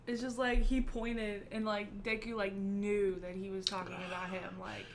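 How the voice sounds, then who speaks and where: A young woman co-hosting an anime reaction at home, answering softly and briefly. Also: medium pitch, level tone, normal speed, very noisy. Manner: soft, brief replies. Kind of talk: casual reaction chat. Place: small home room with shelves of figures.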